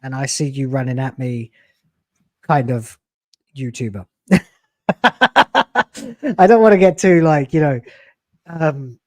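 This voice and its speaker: A man's voice: mid-range voice, expressive, slow pace, long pauses, no echo. A blunt man in his late thirties talks loosely and profanely on a livestream. His voice sits in an ordinary middle range. The sound is dry and close, with no room around it. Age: late 30s. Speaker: YouTuber guest. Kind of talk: casual podcast chat.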